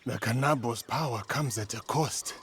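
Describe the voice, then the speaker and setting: An actor playing an earnest, humble samurai warrior in a video game, with formal and solemn phrasing. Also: male voice, medium pitch, normal speed, a bit bright, slight background noise, lightly acted. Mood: solemn, thoughtful. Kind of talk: dramatic video game acting.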